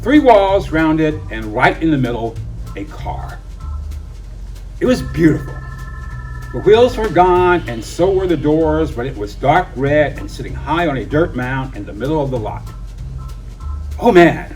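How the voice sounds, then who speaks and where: Male storybook reader, higher-pitched and animated, expressive children's read-aloud. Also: normal speed. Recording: distant mic, music behind.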